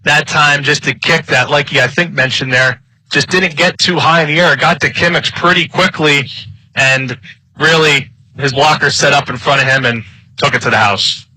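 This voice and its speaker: A man's voice: on the high side, normal speed, bright, forceful tone, no room sound, low-quality recording. An American man working as a color commentator, giving live analysis of an American football game.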